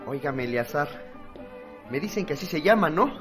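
An actor playing an inquisitive stranger who asks direct, probing questions in radio drama style. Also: male voice, on the high side, animated, brisk, music in the background, lightly acted. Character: inquisitive male stranger sizing up a cantina boss.

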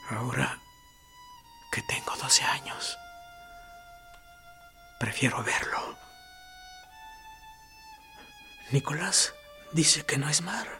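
An actor playing a wistful boy who recalls childhood longings in a hushed radio-play monologue. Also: mid-range voice, heavily acted. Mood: dreamy, hushed. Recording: music bed under the voice.

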